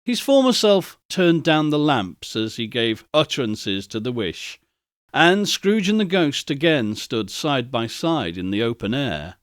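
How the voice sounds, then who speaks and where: A man's voice: mid-range voice, big pitch swings, unhurried, no echo, studio quality. A man reading a literary story aloud as a dramatic narrator. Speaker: narrator, audiobook reader.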